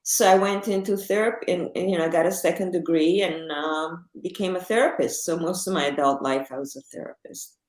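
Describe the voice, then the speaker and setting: A woman's voice: on the low side, steady tone, brisk, echoey room, low-quality recording. A woman in her sixties, an author and psychotherapist born in Israel, talking about her life in an interview, with many fillers and restarts. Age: 60s. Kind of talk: conversational interview.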